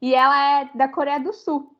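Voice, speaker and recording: Young Brazilian woman with a high, slightly muffled voice. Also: fairly even intonation, normal speed. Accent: Brazilian Portuguese. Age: young adult. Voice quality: many fillers, rambling restarts. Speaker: university student guest. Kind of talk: casual interview chat.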